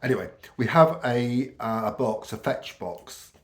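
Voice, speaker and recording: A middle-aged British man with a croaky voice from a cold, chatting casually to camera in a rambling way with many ums. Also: mid-range voice, lively intonation, unhurried. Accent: British English. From United Kingdom. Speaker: vlogger.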